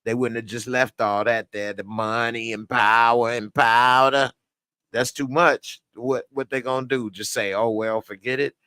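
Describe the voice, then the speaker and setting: A man's voice: medium pitch, fairly even intonation, measured pace, bright, forceful tone, smooth, no room sound. Blunt, slangy male TV reviewer. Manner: blunt, slangy, rants with heated outbursts. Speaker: TV series reviewer. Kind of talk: casual spoken episode review.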